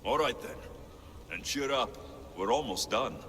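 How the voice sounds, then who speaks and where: An actor playing a confident, plain-spoken mercenary hero in a video game, steady and reassuring. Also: male voice, medium pitch, measured pace. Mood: encouraging. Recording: long hall reverb, faint noise.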